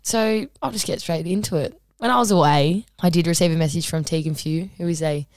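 Woman co-host, husky and low, laid-back rambling delivery, dry close recording. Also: brisk, no room sound. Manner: relaxed, rambling, many ums. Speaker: podcast co-host. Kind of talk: casual podcast chat.

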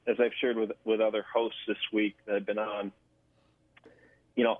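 Low-voiced man on a thin phone line. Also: no echo. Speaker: interview guest, government affairs director. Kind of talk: radio interview answers.

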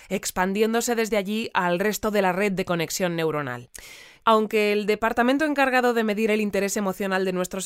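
Clear-voiced Spanish newsreader played by an actress. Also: female voice, medium pitch, no echo, clean studio sound, close to an everyday voice. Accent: Spain. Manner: formal, orderly, articulate. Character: composed female newsreader of a futuristic community bulletin. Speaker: news bulletin presenter. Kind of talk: scripted radio news reading and interview.

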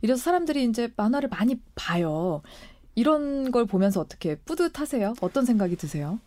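A woman's voice: fairly high pitch, sing-song, brisk, a little mellow, no echo. A woman hosting a radio interview, engaged and asking questions, with frequent short acknowledgements.

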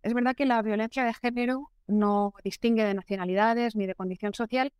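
Earnest woman, smooth clear voice. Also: a little high, fairly even intonation, darkish tone, dry recording, clean studio sound. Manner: measured, earnest, explanatory. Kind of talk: interview answer.

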